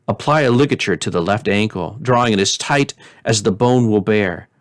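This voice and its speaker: A man's voice: mid-range voice, a bit bright, no echo, studio quality. A man reading a storybook voices a sententious, stubborn materialist philosopher, pompous and emphatic in his certainty.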